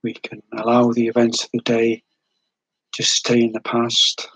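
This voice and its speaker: A man leading a guided meditation in a gentle, soothing, unhurried way, with long pauses between short phrases and a breathy edge to the voice. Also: mid-range voice, monotone, dry recording, poor audio.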